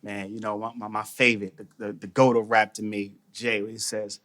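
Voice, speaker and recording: A man talking casually on a podcast, animated and slangy, often repeating phrases. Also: fairly low pitch, brisk. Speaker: podcast guest, mental toughness trainer.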